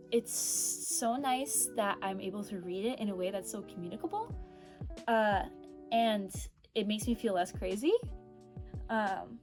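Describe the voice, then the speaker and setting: An expressive young woman talks through her reading in a loose, rambling way, reacting big and swearing now and then. Her voice sits in an ordinary middle range for a woman. Music plays clearly underneath her. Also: mid-range voice. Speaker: book club vlogger. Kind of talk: casual chat.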